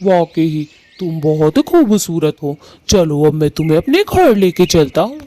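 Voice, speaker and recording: A man voicing Kalu, a harsh, bossy male bird husband in a cartoon who scolds loudly. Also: on the high side, big pitch swings, a little slow, dry recording. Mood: pleased. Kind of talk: Hindi cartoon voice acting.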